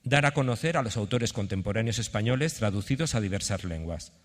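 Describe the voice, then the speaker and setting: A man from giving a halting conference presentation, partly reading it out. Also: lowish voice, expressive. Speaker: theatre representative presenting a project. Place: indoor conference venue. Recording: reverberant conference hall.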